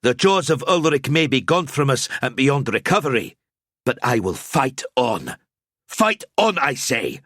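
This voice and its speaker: A man's voice: medium pitch, sing-song, unhurried, a bit bright, no echo, clean studio sound, pitched above the performer's own voice. A man voicing a stubborn, brave male warrior who pleads and demands with urgent force. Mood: defiant.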